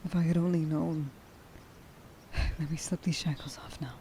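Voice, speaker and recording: A woman playing a tender, emotional young huntress in an ASMR roleplay, speaking softly and breathily and often dropping toward a whisper. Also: low voice, steady tone, normal speed, a little hiss or hum. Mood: pained, sorry, then tender, relieved. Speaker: voice actor.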